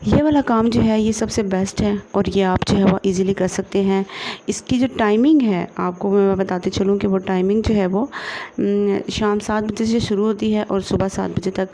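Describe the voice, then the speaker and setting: A Pakistani woman gives an informal tutorial in a mellow, fairly flat voice. Her delivery is halting and padded with repeated filler phrases. There is light background noise with some clicking on the recording. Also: medium pitch. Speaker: tutorial presenter. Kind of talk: informal screen-share tutorial.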